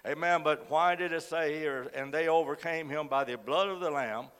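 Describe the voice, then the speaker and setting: A man with a cold, hoarse as he preaches a sermon, reads scripture and says frequent amens. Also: a little high, normal speed, fairly bright tone. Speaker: guest preacher. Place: church sanctuary. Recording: long reverb of a church hall.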